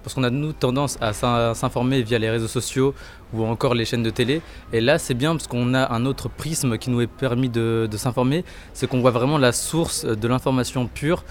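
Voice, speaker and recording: A man's voice: medium pitch, steady tone, normal speed. Teenage male juror, earnest, stumbling restarts, faint noise. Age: teens. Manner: earnest, restarts words often. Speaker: student juror. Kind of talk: interview answers.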